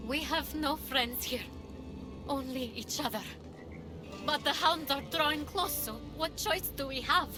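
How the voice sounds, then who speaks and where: A woman's voice: sits high, measured pace. A woman acting as a frightened, suffering refugee in a video game, hesitant and pleading. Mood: wary, resigned. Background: game soundtrack music.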